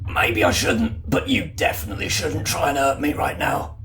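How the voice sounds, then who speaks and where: A man's voice: on the high side, animated, moderate pace, a bit bright, slight background noise. A man voicing a thick-set, middle-aged government agent who is wary and placating, in a tabletop roleplaying game. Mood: guarded warning.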